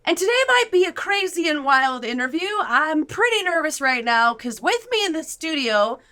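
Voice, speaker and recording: A woman, probably middle-aged, hosting an interview in an excitable, encouraging, upbeat way. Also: sits high, normal speed. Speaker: show host interviewing her children.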